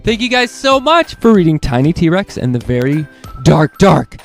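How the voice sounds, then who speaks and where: A man hosting a children's read-along, reading picture books aloud in an animated way and speaking directly to young listeners. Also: a little high, normal speed, faint music behind.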